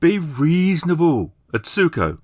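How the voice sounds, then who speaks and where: A man's voice: fairly high pitch, sing-song, measured pace, no room sound, studio quality, voice pushed up. A man voicing a pragmatic, money-minded villager who speaks respectfully and persuasively in a tabletop game. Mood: reasonable, placating.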